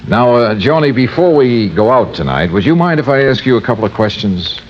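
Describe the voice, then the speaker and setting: A man's voice: medium pitch, very animated, fairly quick, slight background noise, close to an everyday voice. An actor playing a wry, clipped insurance investigator who narrates his case and questions people in a 1950s-style radio drama. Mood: coolly probing. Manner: wry, clipped, coolly probing. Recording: muffled old radio recording, music behind.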